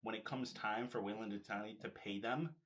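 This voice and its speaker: A man's voice: on the low side, steady tone, a little fast, a bit bright. A man chatting casually about films and comics, explaining things in a loose, step-by-step storytelling way.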